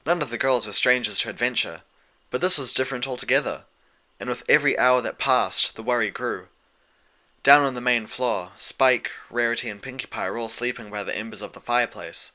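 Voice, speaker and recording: A man's voice: medium pitch, a little fast, pushed and bright. A man reading scripted fiction as an audiobook narrator.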